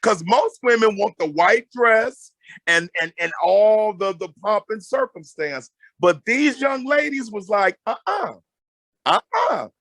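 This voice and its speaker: A middle-aged man with a high voice that swings widely as he talks. He is loud and emphatic, giving conversational counsel and repeating phrases for weight. The sound is close and dry. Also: big pitch swings, slow pace, no room sound. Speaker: guest marriage counselor and mentor. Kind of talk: animated conversational advice talk.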